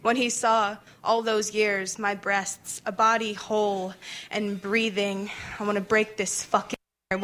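A woman's voice: mid-range voice, a bit bright. A woman performing intense, dramatic spoken word poetry.

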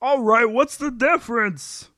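A man's voice: extremely high pitch, unhurried, pushed and bright, dry recording, studio quality, exaggerated voice. A man playing a whiny, put-upon sitcom husband and team captain in an amateur table read. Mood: irritated.

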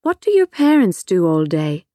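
A woman's voice: fairly low pitch, sing-song, moderate pace, warm tone, no echo. A woman playing a guarded, sardonic adult in an audiobook, terse and impatient with children. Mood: dry, probing. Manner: dry, terse, sarcastic. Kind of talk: audiobook character dialogue.

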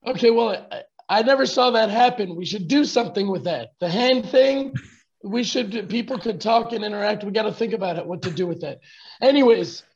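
A hoarse man who has lost his voice, casually chatting and greeting viewers by name in a live online talk. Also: high voice, fairly quick. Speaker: fellowship teacher. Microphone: video call. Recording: dull video call audio.

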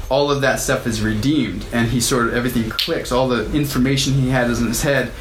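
A man delivers a sermon, talking through scripture in a loose, conversational way and lifting his voice when he reads the verses. His voice sits at an ordinary middle pitch. The room is echoey, with a faint hum of ambience behind him. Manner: conversational, reads verses aloud with rising emphasis. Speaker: pastor.

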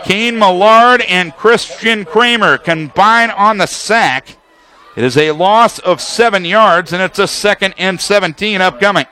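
A man's voice: high voice, a little slow, bright, forceful tone. An American man calling live football play-by-play for radio, describing formations and action in a detailed running commentary.

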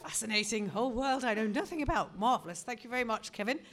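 A woman compering a live book event, introducing readers with deadpan jokes. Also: fairly high pitch, fast talker.

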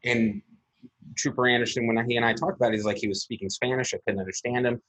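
A man with a husky voice, an interview guest recounting events methodically, step by step, with frequent pauses. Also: medium pitch, fairly even intonation, a bit bright, poor audio. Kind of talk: recounting a personal incident in an interview.

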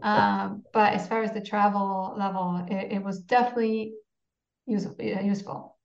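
A woman's voice: medium pitch, level tone, moderate pace, gravelly. Businesswoman in her 40s, candid, home office, dull roomy call audio. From Poland. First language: Polish. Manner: candid, dry humor, frequent "uh" fillers. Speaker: guest, company CEO. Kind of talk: casual interview conversation. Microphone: video call.

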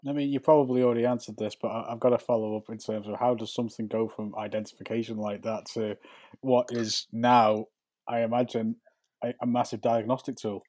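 A man with a mid-pitched voice hosts an interview podcast. He speaks close to the microphone in a dry space with no room sound. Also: little pitch movement, a little fast, darkish tone, no room sound. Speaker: podcast host. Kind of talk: scripted introduction and interview questions.

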